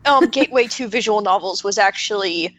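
A woman's voice: medium pitch, fairly quick, fairly bright tone. A woman chatting casually as a podcast panelist, telling things in a hesitant, filler-heavy way. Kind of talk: casual roundtable chat.

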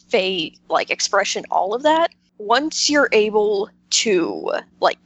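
A woman's voice: mid-range voice, fairly bright tone, no room sound. A woman chatting casually as a podcast panelist, explaining things informally and often interrupting herself. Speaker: podcast roundtable panelist, visual novel maker. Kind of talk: casual chat.

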